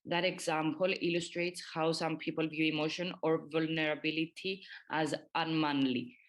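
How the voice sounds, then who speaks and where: Deep, even-toned woman. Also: normal speed. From Cyprus. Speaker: podcast participant. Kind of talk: prepared explanation.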